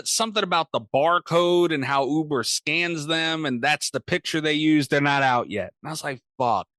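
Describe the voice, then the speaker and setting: A man's voice: fairly high pitch, a bit bright, no room sound. A man co-hosting a podcast in casual banter, blunt, profane and teasing. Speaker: podcast co-host.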